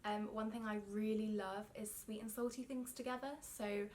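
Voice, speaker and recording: A woman's voice: medium pitch, level tone, moderate pace, darkish tone, a little hiss or hum. A young woman with a London English accent, chatting casually to camera as a knitting vlogger, a little self-conscious with frequent ums. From England. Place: indoor room with yarn shelves.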